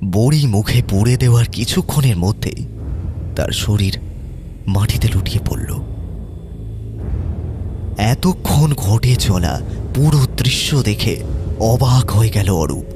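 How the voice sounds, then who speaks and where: একজন পুরুষ কথক, টানটান রহস্যময় ভঙ্গিতে ধীরে ধীরে ভৌতিক গল্প বলেন।. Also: male voice, fairly low pitch, background noise. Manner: suspenseful, measured. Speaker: narrator. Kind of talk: dramatic horror storytelling. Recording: music behind voice.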